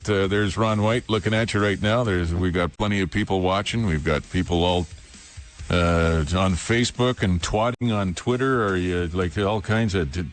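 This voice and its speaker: Man, low pitch, radio host, casual chat. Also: moderate pace.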